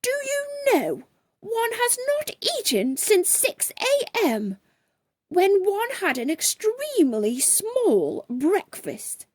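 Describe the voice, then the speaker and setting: A woman voicing a posh, bad-tempered gentleman biscuit in a children's storybook, pompous and blustering. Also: very high voice, huge pitch swings, takes it slowly, no echo, pitched above the performer's own voice, exaggerated voice. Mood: indignant. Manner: pompous, blustering, clipped upper-class phrasing.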